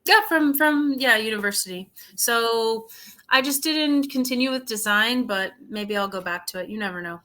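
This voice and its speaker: Bright-voiced young woman tutor. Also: fairly high pitch, normal speed. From United States. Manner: relaxed, hesitant, lots of "like" and "I don't know". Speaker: English tutor. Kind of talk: casual conversation practice. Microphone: video call.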